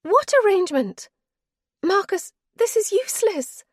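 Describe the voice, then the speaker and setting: Woman voicing a high, reproachful wronged wife. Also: big pitch swings, measured pace, dry recording, clean studio sound, heavily acted. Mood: defiant. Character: high, anguished mistress of the house, resolute. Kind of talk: audiobook character voice.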